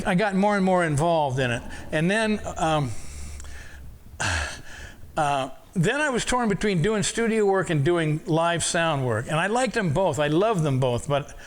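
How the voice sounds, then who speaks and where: Casual older guy, audio engineer type, reminiscing, lots of restarts and repeats, slightly high pitch. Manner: rambling, many restarts and repeated words. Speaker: audio engineer telling stories. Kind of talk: casual storytelling talk.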